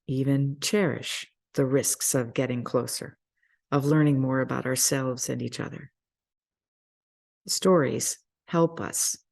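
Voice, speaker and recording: A person speaking reflectively in a sermon-like style, with measured, deliberate phrasing and pauses. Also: mid-range voice, gravelly, darkish tone. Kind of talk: reflective spoken introduction to a story, sermon-like.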